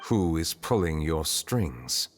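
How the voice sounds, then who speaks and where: An actor playing a grave, composed, formal chief justice of ageless dignity, speaking deliberately in a video game. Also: male voice, extremely low pitch, big pitch swings, a little hiss or hum, exaggerated voice. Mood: stern questioning. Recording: hall reverb under faint music.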